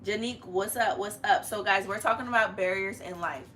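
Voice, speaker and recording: Bright-voiced young woman hosting a live stream. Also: mid-range voice, fairly quick. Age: young adult. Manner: chatty, familiar, uses slang. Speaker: host of a family live stream. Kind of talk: casual live-stream chat. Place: living room, on a couch. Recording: noticeable room noise.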